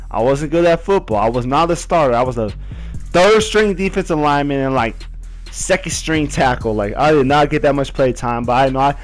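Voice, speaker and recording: Lively young man telling a story. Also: mid-range voice. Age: young adult. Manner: animated slang-filled storytelling. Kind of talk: casual storytelling vlog.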